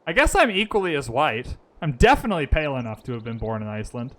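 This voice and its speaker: A man's voice: mid-range voice, very animated, fast talker, a bit bright. A man streaming a strategy game, thinking his moves aloud and chatting casually with his viewers. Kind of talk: casual gaming commentary. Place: lives in Vancouver.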